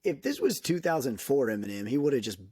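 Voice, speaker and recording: A man co-hosting a podcast, talking in an opinionated, emphatic way that jumps up in pitch when he gets worked up. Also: big pitch swings, a little fast, warm tone, breathy edge, no echo, studio quality. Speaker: podcast co-host. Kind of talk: casual chat.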